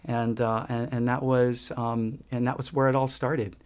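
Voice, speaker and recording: A man's voice: mid-range voice, level tone, moderate pace, a little mellow, clean tone. A middle-aged man from Hawaii, answering interview questions in an earnest way, with many restarts and fillers. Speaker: guest, ukulele shop owner. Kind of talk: conversational interview.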